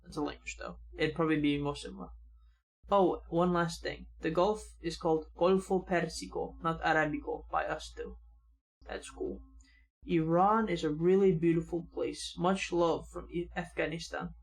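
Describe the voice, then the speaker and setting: A young man hosting a reaction channel, casually reading viewer comments aloud and adding quick remarks of his own. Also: fairly high pitch, steady tone, vocal fry, breathy edge, low-quality recording. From United States.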